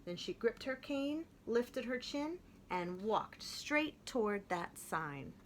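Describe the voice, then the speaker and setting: A woman reading a children's picture book aloud in an expressive way, slowing down to stress key phrases. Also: on the high side, a little hiss or hum. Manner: expressive, with slowed emphatic phrases. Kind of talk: storybook read-aloud.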